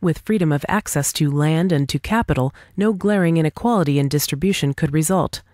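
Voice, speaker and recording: A woman's voice: low voice, fairly quick, darkish tone, no room sound, clean studio sound. A woman reading a scripted dialogue aloud as for an audiobook, in a formal, argumentative manner. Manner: formal, argumentative, rhetorical questioning. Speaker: audiobook reader.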